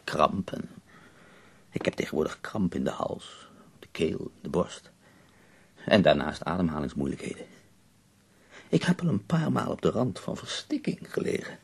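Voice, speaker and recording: An actor playing an ailing, bedridden poet in a radio drama, wry and death-weary, speaking theatrically with dramatic pauses. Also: male voice, sits low, big pitch swings, heavily acted. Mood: complaining, suffering. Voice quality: husky, gravelly.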